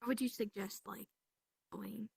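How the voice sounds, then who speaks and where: A woman's voice: medium pitch, fairly even intonation, measured pace, vocal fry, a little mellow, husky, no echo, clean studio sound. A young woman asking questions in a casual chat. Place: online voice chat in a virtual reality game.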